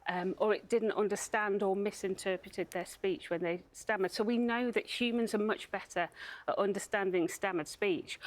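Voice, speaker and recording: A woman's voice: medium pitch, low-quality recording. A woman speaking as a charity spokesperson, explaining things in a broadcast interview. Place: TV studio.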